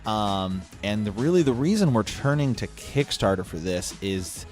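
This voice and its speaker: A man's voice: on the low side, expressive, normal speed, darkish tone, soft background music. A man hosting a podcast, chatting casually as he makes an announcement with a co-host.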